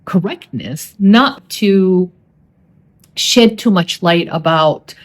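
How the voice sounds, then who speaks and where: Woman with an Arabic accent, solo podcast host. Animated and quick, restarts her sentences often. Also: on the low side. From Saudi Arabia. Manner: animated, fast-talking with frequent restarts and fillers.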